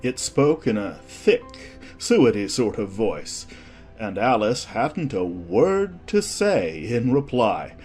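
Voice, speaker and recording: An audiobook narrator reading a children's story in a measured, expressive scripted style. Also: medium pitch, faint music behind.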